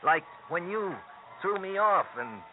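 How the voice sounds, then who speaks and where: Tinny-sounding, needling little hoodlum. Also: male voice, high voice, expressive, a little slow. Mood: falsely friendly. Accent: American. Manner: needling, sarcastic, slangy. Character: cocky small-time hoodlum nursing grudges, falsely chummy with rival. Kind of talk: 1950s American radio crime drama acting. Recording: tinny phone-like old radio recording, hissy.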